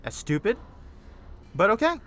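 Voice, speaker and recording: A man voices a sardonic, exasperated young buddy in an audio drama. The voice is bright and fairly high, with animated, punchy swings. The delivery is casual, blunt and teasing. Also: a little slow, heavily acted. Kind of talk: naturalistic audio drama dialogue.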